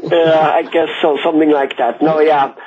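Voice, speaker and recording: A man's voice: on the high side, flat delivery, normal speed, a crowd in the background. A man with an Austrian accent, a native German speaker, conducting a conversational interview in a rambling, wordy way. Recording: muffled audio with loud background noise.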